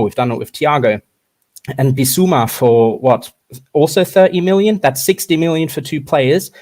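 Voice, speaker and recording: A young man with a mixed German and Australian accent, calling in to rant passionately about his team, with quick halting restarts. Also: mid-range voice, darkish tone. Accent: German-Australian mix. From Australia, German-born. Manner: fast, impassioned, halting restarts. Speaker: caller.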